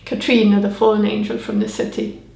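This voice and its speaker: A brooding Highland man speaks with chilly mockery, read out by a woman. The voice has a gravelly rasp at the ends of phrases. It sounds like a reading recorded in an echoey room. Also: big pitch swings, a little fast, a little mellow. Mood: cold.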